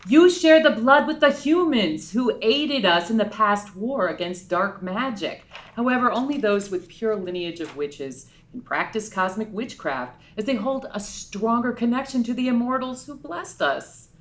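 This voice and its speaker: A woman's voice: fairly high pitch, unhurried, few pauses. A woman voicing a stern head witch at a magic academy in a casual table read. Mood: explaining. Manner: lively, scolding and theatrical.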